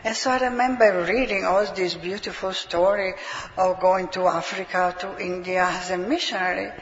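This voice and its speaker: A woman from Italy with an Italian accent, giving her personal testimony to a congregation and pausing often to find words. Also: medium pitch, fairly even intonation, husky. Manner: hesitant, searching for words. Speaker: preacher's wife giving testimony. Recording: dull sound with long hall reverb.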